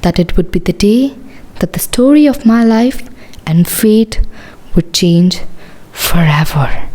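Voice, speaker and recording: A woman narrating a dramatic first-person story from a script, with deliberate pauses. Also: mid-range voice, lively intonation. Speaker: narrator of a shadow puppet show. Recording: loud music bed under the voice. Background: new-age background music.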